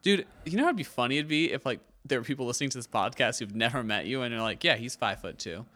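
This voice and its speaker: A man's voice: mid-range voice, expressive, fast talker, sharp tone. An American man chatting casually as a co-host on a podcast. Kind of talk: casual chat.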